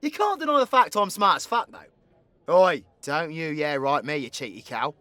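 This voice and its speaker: A man's voice: fairly high pitch, very animated, moderate pace, pushed and bright, dry recording. A British man playing a cocky, foul-mouthed, teasing supervillain in an audio roleplay, with crude slangy banter. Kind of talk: ASMR audio roleplay acting.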